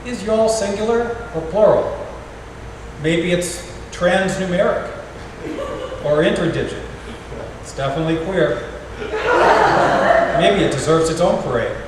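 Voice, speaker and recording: Middle-aged man reading in an echoey hall. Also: sits high. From United States. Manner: gentle, wry, measured reading with pauses. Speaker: parish artist in residence reading a poem. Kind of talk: poetry reading at a farewell gathering. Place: large echoey parish hall. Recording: echoey hall from far off, noisy.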